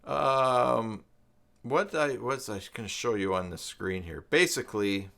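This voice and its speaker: A man hosting an informal screen-share tutorial, thinking aloud and reading out documentation in a halting, self-interrupting way. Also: mid-range voice, gravelly, bright, forceful tone. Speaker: live stream host giving a web tutorial. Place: at a desk by a computer.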